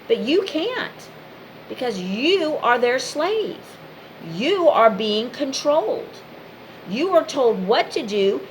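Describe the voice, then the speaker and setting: A woman's voice: sits high, big pitch swings, slow, a little hiss or hum, poor audio. A woman giving an impassioned, informal monologue straight to the listener, speaking in emphatic, repetitive short phrases.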